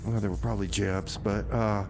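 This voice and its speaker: A man's voice: lowish voice, flat delivery, fairly quick, darkish tone. Folksy male radio DJ, faint noise. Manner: rambling, folksy. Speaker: community radio DJ and show host. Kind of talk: casual, comic radio banter and song announcing.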